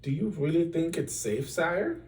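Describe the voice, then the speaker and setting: A man acts out an earnest storybook errand boy, worried and urgent. The voice is mellow and slightly off the mic, calling out in slow phrases. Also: fairly high pitch, lively intonation, far from the mic, pitched above the performer's own voice. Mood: doubtful.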